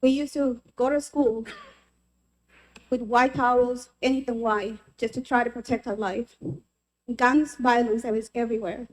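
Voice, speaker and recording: Woman from el salvador, testifying, heartfelt and earnest, kinda high voice. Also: darkish tone, poor audio. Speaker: member of the public testifying. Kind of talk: personal testimony at a legislative hearing.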